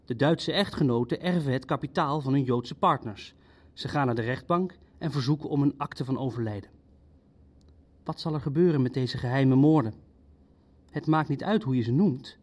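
An actor playing a methodical, legalistic Nazi official in a radio play, arguing through rhetorical questions. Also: male voice, a little high, darkish tone. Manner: argumentative, rhetorical. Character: methodical, legalistic male Nazi official raising practical objections. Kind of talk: Dutch radio play acting.

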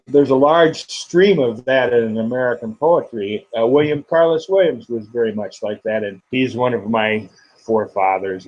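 A man, a poet and guest, speaking slowly and haltingly with many fillers in an interview. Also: fairly low pitch, darkish tone, highs cut off. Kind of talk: interview and poetry reading.

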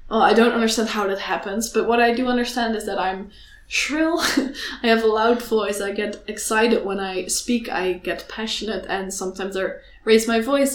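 Young woman talking earnestly, lots of restarts, echoey room. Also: mid-range voice. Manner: earnest, passionate, halting with many restarts. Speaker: student activist. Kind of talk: candid conversation.

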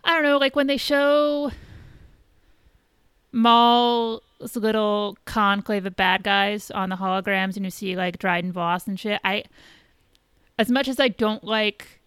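Clear-voiced woman, blunt and dry-sounding. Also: mid-range voice, fairly bright tone, no echo. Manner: blunt, informal, profane. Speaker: podcast co-host. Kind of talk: casual chat reviewing a TV episode.

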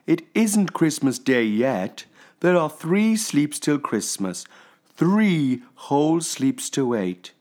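A sleepy daddy grizzly bear from a children's storybook, put on by a man. He speaks slowly and wearily, stretching his words with patient emphasis and big rises and falls. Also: a little high, very animated, darkish tone, pitched above the performer's own voice. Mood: groaning, weary. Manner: mumbling, yawning, drawn-out emphasis.

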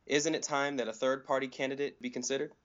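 A man's voice: mid-range voice, steady tone, fast talker, fairly bright tone. A man co-hosting a talk show in a casual way, introducing listener questions and giving brief responses. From Germany. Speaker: co-host reading listener questions. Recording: dull, lo-fi audio.

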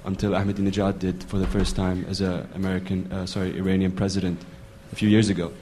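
A man's voice: low-pitched, little pitch movement, quick pace, warm tone, slight background noise. A man in a lecture audience asking a long question, hesitant with many fillers. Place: lecture hall.

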